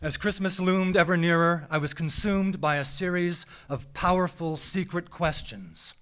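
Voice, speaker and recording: An American man reads a scripted personal story live on stage, with a measured, theatrical delivery and deliberate dramatic pauses. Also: sits high, fairly bright tone. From small town in Minnesota, United States. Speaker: writer reading a personal essay. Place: small theatre, live event.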